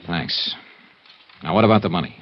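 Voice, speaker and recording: A hard-boiled American investigator from an old radio drama, with a low voice that swings widely in pitch. He is clipped and wry, and the vintage recording is slightly muffled. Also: expressive, normal speed. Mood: firm, businesslike. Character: tough, wry male American private investigator. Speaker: lead actor and first-person narrator. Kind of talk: 1950s American detective radio drama acting.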